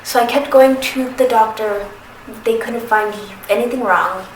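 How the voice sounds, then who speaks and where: A woman in her thirties who stutters, speaking slowly with pauses and restarts in a personal testimony. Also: a little high. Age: 30s. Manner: stutters, with repeated sounds and restarts; slow, careful, with pauses. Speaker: documentary submitter. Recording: roomy, distant from the mic, noisy background.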